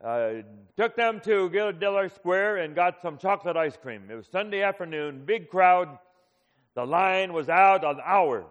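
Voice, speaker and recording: A man in his fifties, a pastor, preaching a sermon in a relaxed storytelling way with frequent pauses. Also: sits high. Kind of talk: sermon with personal stories. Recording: muffled hall recording with long reverb.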